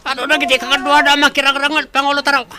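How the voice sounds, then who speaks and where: A very high, fretful warrior sidekick. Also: male voice, level tone, very fast, pushed and bright, heavily acted. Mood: anxious, submissive. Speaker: radio drama actor. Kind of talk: Philippine radio drama acting.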